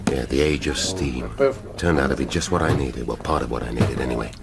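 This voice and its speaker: An actor with an English accent playing a brooding middle-aged police detective in a radio drama, musing in clipped half-sentences. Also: male voice, a bass voice, a little fast, over music. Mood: brisk, eager.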